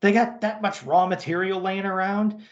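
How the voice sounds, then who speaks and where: A man's voice: sits high, steady tone, moderate pace, reverberant, dull audio. A man co-hosting a comic-book podcast, narrating and commenting. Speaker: podcast co-host.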